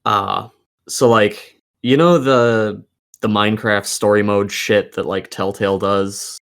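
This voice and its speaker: A man's voice: fairly low pitch, steady tone, slow, gravelly, a bit bright, smooth. A man co-hosting a casual video game discussion, giving opinions with plenty of swearing. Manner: profane, opinionated. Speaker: podcast co-host.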